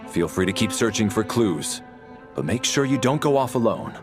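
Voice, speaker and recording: An actor playing a composed authority figure leading an inquiry in a video game, formal and measured. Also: male voice, fairly low pitch, lively intonation, lightly acted. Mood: stern, calm. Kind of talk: dramatic game voice acting. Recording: loud string music behind.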